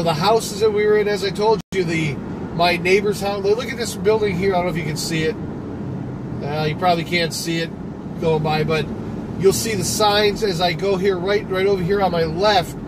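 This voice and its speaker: A man from Michigan hosting a casual live stream while he drives, talking in a rambling, conversational way full of restarts. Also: sits high. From Michigan, USA. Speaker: livestream host. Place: inside a car, driving. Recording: noisy car recording with road noise.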